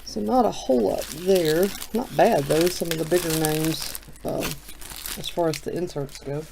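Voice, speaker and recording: A middle-aged man hosting a casual, relaxed chat, thinking aloud as he opens packs of cards. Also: on the high side, moderate pace, gravelly, noisy background. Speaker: card shop host. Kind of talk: casual chat while opening card packs.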